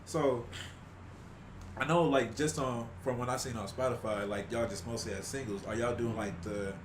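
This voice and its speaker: Laid-back podcast host, echoey room. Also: male voice, medium pitch, normal speed. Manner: relaxed, laid-back, lots of filler and encouraging back-channel remarks. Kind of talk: casual podcast interview. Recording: echoey room with faint noise.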